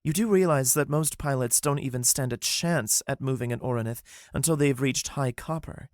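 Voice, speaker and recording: A man's voice: mid-range voice, moderate pace, darkish tone, dry recording, studio quality, voice pushed up, lightly acted. A man voicing a blunt, firm young woman companion.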